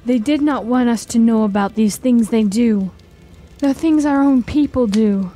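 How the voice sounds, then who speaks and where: A woman playing a gentle, troubled girl in a fantasy radio drama, speaking in an earnest, plaintive way. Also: fairly high pitch, a little slow, faint music behind. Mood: fearful, grieving. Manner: earnest, plaintive, simple formal phrasing. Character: gentle, troubled girl, an unwanted only child's sister.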